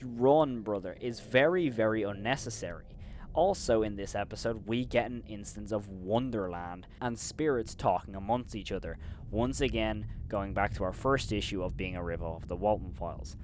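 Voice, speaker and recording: Brief: male commentary host, slightly deep, opinionated, climbs into loud disbelief while ranting, faint rumble. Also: normal speed. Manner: opinionated, repeats "of course" and "in my opinion"; gets loud and incredulous when ranting. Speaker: host and reviewer.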